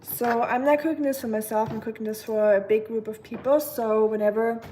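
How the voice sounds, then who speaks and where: A woman's voice: fairly high pitch, fairly even intonation, fairly quick, dark tone. A young woman from Germany with a German accent, chatting casually with live viewers while she cooks, joking in a dry, sarcastic way. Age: young adult. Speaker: cooking streamer. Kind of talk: casual live-stream chat while cooking. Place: home kitchen. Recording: knife chopping noise behind.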